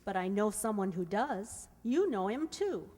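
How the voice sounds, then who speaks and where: Coy young leading lady played by a woman, bantering, highly animated intonation, radio romantic comedy in a reverberant hall. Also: measured pace. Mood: teasing. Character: coy, playful young single mother. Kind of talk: stage-style radio comedy acting. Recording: reverberant hall stage recording.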